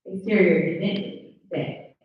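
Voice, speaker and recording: Female script narrator, medium pitch, distant and dull sound, live read. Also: moderate pace, a little hiss or hum. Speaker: stage-direction reader. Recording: very echoey room, distant dull mic.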